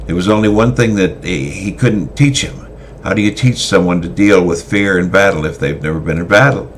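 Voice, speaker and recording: A man reading a story aloud in a semi-dramatic style, halting often with fillers and restarts. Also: low voice, a little hiss or hum.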